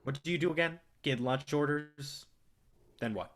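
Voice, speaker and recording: A man's voice: fairly high pitch, moderate pace, fairly bright tone, lightly acted. A man acting in a staged play reading as a brash, cocky film distributor, swaggering and persuasive with plenty of profanity. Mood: pushy, persuasive. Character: brash, cocky, foul-mouthed male film distributor, smooth manipulator.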